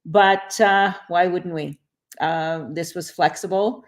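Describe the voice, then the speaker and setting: A middle-aged woman, a guest on a live video call, telling her story at a steady pace. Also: on the low side, takes it slowly. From Canada. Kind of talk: interview story.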